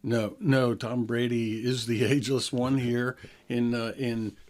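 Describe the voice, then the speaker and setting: A man's voice: medium pitch, little pitch movement, unhurried, darkish tone. A man in his sixties hosting a health radio show, explaining things in a chatty, easygoing way and laughing now and then. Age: 60s. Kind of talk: casual radio talk.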